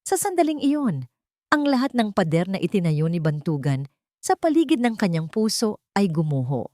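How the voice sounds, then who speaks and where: A woman's voice: medium pitch, big pitch swings, moderate pace, warm tone, no echo, studio quality. A woman reading a dramatic scripted story as an audio drama narrator.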